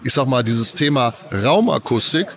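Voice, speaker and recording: A man's voice: medium pitch, expressive, normal speed, fairly bright tone. A man with a cold, explaining audio tech at a trade fair in a loose, filler-heavy way. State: has a cold. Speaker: audio company representative. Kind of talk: casual trade-fair interview.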